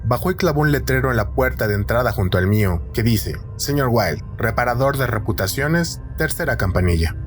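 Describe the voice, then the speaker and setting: A man's voice: medium pitch, lively intonation, brisk, hardly any pauses, close to an everyday voice. An earnest male tradesman acted by a man reading aloud speaks with polite, probing insistence. The storybook reading has some music behind it. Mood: probing, concerned.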